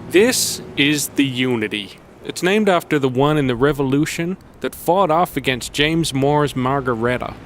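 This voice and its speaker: A man playing a wry, knowledgeable older companion in an audio drama, explaining and gently needling. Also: medium pitch, lively intonation, normal speed, slight background noise, close to an everyday voice. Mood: explanatory. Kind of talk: conversational audio drama acting.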